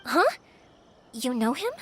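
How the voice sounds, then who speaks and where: A woman playing an energetic, kindhearted young fireworks maker in a video game, earnest and animated. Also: high-pitched, slow, slight background noise, full character voice. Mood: hesitant.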